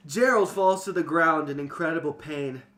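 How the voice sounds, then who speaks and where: A man narrating a fantasy story as an amateur dramatic reading, with an animated storytelling manner. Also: fairly high pitch, moderate pace. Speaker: narrator and host.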